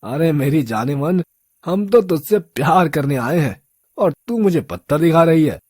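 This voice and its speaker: A man's voice: a little high, expressive, measured pace, darkish tone, no room sound, clean studio sound. A man playing a drunk, lecherous local thug boss in a story, leering and drawling street slang. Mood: lewd, taunting. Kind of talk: Hindi audio story character acting.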